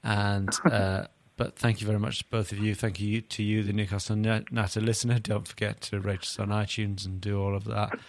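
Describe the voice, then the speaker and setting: A man's voice: lowish voice, flat delivery, fairly quick, few pauses, a little hiss or hum. A man hosting a podcast in casual football chat, with a self-deprecating way of talking. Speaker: podcast host.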